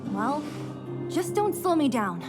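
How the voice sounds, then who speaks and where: A woman's voice: sits high, very animated, slow pace, exaggerated voice. A woman voicing a tough, blunt, cocky young female fighter in a video game. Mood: brisk, dismissive. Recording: game music behind the voice. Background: music.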